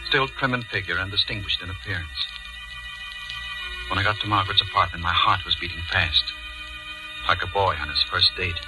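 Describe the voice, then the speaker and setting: An actor playing a formal, measured middle-aged judge, lovestruck and coldly scheming, in 1940s radio drama style. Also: male voice, sits low, natural voice, rough recording. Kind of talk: 1940s radio acting, first-person narration and dialogue. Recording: 1940s radio broadcast with music.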